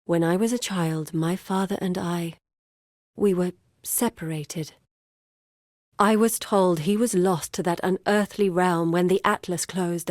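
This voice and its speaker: An actress voicing an earnest, guarded woman explorer in a video game, speaking in a measured, grave and deliberate way. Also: mid-range voice, a little mellow, no room sound, studio quality, natural voice. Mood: confessional, earnest. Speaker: video game character voice actor. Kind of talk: scripted game character dialogue.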